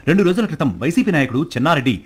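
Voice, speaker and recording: A man's voice: a little high, expressive, rapid-fire. A man reading scripted news narration in the manner of a bulletin reporter.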